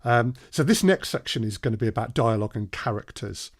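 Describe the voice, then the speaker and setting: A man's voice: medium pitch, expressive, a little fast. A man co-presenting a workshop in a conversational way, often prompting his partner with tag questions.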